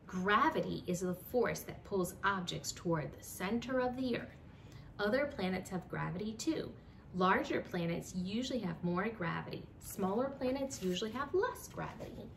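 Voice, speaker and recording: Animated young woman reading a storybook. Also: creaky. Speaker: children's book reader. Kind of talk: animated storybook read-aloud. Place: small home room with bookshelves. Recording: slightly distant mic, faint rumbling noise.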